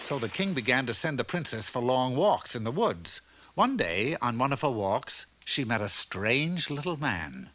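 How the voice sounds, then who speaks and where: Wry fairy tale narrator guy, very animated delivery, noisy old cartoon audio with clicks. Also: medium pitch, moderate pace. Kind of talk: scripted storybook narration, wry.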